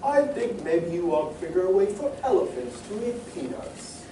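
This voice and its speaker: An actor playing a pompous, wordy, food-obsessed cartoon moocher in old radio comedy style. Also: male voice, sits high, animated, normal speed, warm tone. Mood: dry. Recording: roomy stage recording, far mic, faint noise.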